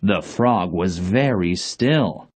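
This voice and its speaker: Slow, expressive male storybook narrator. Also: low-pitched, faint music behind.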